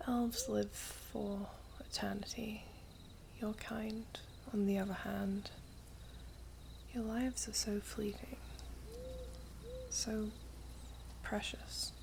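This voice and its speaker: Woman acting as elven princess, breathy whisper, low-leaning fry, wistful, formal phrasing, ASMR roleplay, faint noise behind. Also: flat delivery. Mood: wistful longing. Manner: slow, hushed, formal storybook phrasing. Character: gentle, wistful elven princess, guarded yet tender. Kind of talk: ASMR roleplay, soft whispery close speech.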